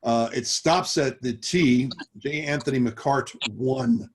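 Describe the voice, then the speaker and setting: This is a man speaking over a video call with dry humor, often poking fun at himself. His pitch sits in the middle. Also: slow, husky. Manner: dry, self-mocking humor. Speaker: actor. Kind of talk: casual video-call chat.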